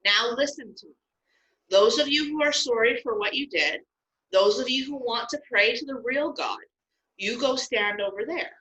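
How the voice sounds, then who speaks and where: A woman's voice: a little high, normal speed, sharp tone, highs cut off, pitched above the performer's own voice. A woman voicing Moses as an earnest, stern male leader in a children's Bible story. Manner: pleading then stern.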